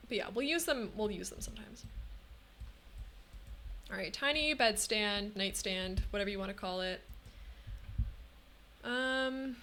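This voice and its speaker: A woman's voice: mid-range voice, unhurried, long pauses, sharp tone. A young woman talking casually through a live game stream, thinking aloud with lots of hmms and ums. Her throat feels a little scratchy.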